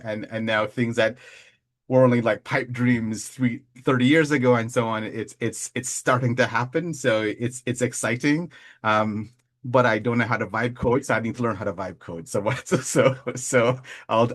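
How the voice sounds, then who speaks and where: Man, Jamaican accent, low voice, chatty, stumbles over repeated words, video call. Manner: chatty, repeats words while searching for them. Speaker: admissions representative. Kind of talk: casual self-introduction on a webinar.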